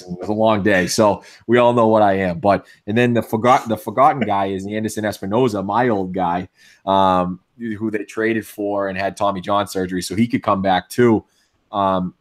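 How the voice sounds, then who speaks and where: A man with a Boston accent co-hosting a casual sports podcast, opinionated and full of restarts, with a voice going hoarse. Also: lowish voice. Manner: opinionated, many restarts and fillers. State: losing his voice. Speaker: podcast co-host.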